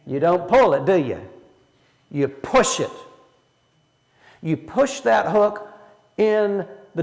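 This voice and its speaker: A man's voice: high voice, vocal fry, darkish tone, long reverb tail. A man leading a church Bible study in a folksy way, asking the room questions as he teaches. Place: church hall.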